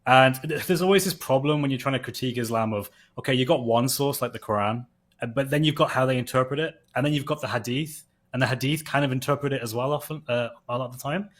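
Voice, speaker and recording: A native English-speaking man talks in a relaxed, meandering way, weighing ideas aloud. His voice sits at an ordinary middle pitch. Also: quick pace. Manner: thinks aloud, chatty with fillers. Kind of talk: casual discussion.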